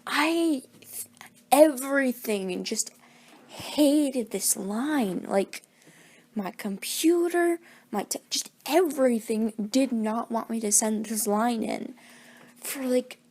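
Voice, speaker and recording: A woman's voice: on the high side, a little mellow. Rambling woman with a groggy voice. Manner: chatty, rambling, self-deprecating. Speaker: hobby voice actor, channel owner. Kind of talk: casual rambling vlog chat.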